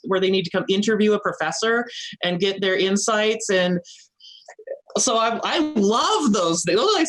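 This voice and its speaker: A woman's voice: medium pitch, fairly bright tone, low-quality recording. A woman college instructor with a strong, assertive voice, chatting informally and laughing often. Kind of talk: informal talk to students.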